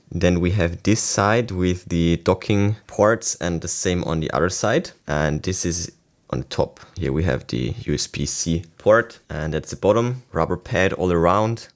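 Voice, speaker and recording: Male tech reviewer, lower register, casual and enthusiastic, given to exclaiming. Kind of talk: casual unboxing and review talk.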